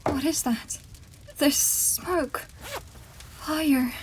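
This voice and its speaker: A woman's voice: high voice, fairly even intonation, extremely slow, a little mellow, slight background noise. A young woman playing a frightened daughter in an audio drama, urgent and pleading. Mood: alarmed, confused. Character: frightened young daughter calming her distraught mother.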